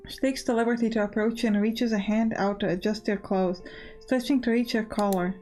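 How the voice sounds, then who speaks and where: A woman's voice: medium pitch, fairly even intonation, brisk, dark tone, faint music behind. A woman hosting a gaming let's play, chatting and reading game text aloud. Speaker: gaming channel host.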